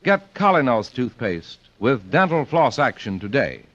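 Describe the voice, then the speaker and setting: A man's voice: mid-range voice, sing-song, a little slow, over loud music. An American man announcing and reading advertisements in a polished, emphatic 1940s radio style. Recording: muffled old radio recording.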